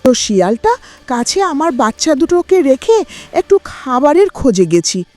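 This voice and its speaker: A woman's voice: high-pitched, big pitch swings, normal speed, a little mellow. A woman voicing a small grieving mother bird in a cartoon moral story, pleading for justice. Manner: plaintive, pleading. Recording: music behind the voice.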